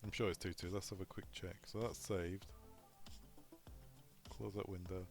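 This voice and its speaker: A man with a fairly low voice walks through a software setup step by step. He speaks informally and instructionally, as a trainer would, with faint music behind him. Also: level tone, moderate pace. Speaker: technical trainer presenting a tutorial. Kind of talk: unscripted step-by-step software walkthrough.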